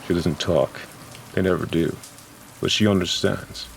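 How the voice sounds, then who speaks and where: A man's voice: low voice, fairly even intonation, measured pace, gravelly, slight background noise. A man reading scripted noir horror narration as a grave, dramatic storyteller. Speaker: narrator and voice actor. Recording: music and rain behind voice.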